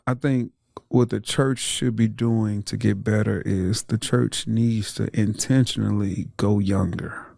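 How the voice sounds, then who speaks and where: Brief: thirties man, reflective pastor, gravelly, laughs easily, dry sound. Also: fairly low pitch, steady tone, measured pace, darkish tone, no room sound. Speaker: pastor and guest. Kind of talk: conversational interview.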